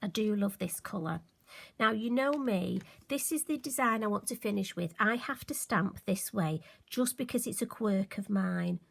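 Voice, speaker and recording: A woman's voice: mid-range voice, moderate pace. Chatty woman demonstrating card making. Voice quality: occasionally croaky. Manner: chatty, self-deprecating, friendly asides. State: slightly hoarse, recovering voice. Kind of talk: casual crafting tutorial.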